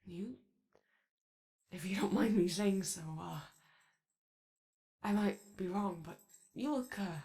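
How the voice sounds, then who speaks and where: An anxious, eager-to-please animatronic daycare attendant acted by a woman in an ASMR roleplay. The voice is slow and soft with a fry in it. The speech is hesitant and rambling, always keen to be friendly. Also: medium pitch.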